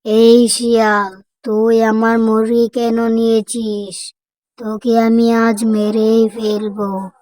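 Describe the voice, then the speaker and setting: A man's voice: very high-pitched, monotone, slow pace, darkish tone, dry recording, voice pushed up. A man voicing a feisty, scolding village wife in a children's cartoon story. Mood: angry. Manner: scolding, indignant.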